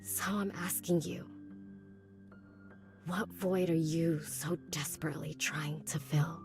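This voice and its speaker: A woman playing a tough, world-weary young woman in a visual novel, blunt and low-key. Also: fairly even intonation, takes it slowly, vocal fry, a little mellow, soft background music, full character voice. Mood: challenging.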